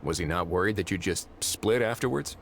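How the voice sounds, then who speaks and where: An actor playing a composed, stern investigator in a video game, giving orders in a measured, formal, authoritative way. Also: male voice, low voice, animated, soft background music. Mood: probing, cool. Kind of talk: video game character dialogue.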